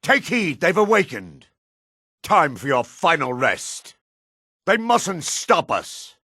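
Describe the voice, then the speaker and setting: An actor playing an older dark elf priest in a video game, grave, devout and weary, with a gravelly, husky voice and solemn, formal phrasing. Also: male voice, fairly high pitch, big pitch swings, takes it slowly, no room sound, studio quality, full character voice. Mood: fierce battle cries. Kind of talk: video game character acting.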